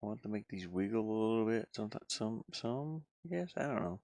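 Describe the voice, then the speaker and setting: A man's voice: lowish voice, expressive, fairly quick, darkish tone, no echo. A man live streaming game design work, rambling and musing casually as he hesitantly thinks aloud.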